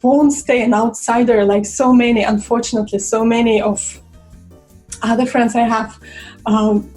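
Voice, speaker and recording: A young woman from Russia with a Russian accent, chatting casually to camera and pausing for words. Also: on the high side, steady tone, darkish tone, faint music behind. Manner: chatty, searching for words with fillers. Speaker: vlogger.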